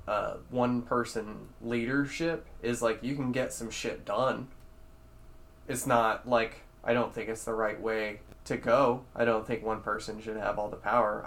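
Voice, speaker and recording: A young podcast co-host chats in an easygoing way, throwing out curious questions. His voice sits at a middle pitch, and he swears casually. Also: fairly bright tone. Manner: laid-back, questioning, casual profanity.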